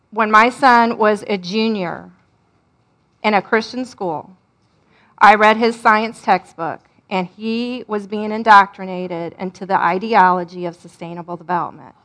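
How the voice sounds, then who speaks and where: A woman giving a presentation at a church, speaking loudly in an earnest, instructive way straight to the audience. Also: a little high. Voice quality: loud voice.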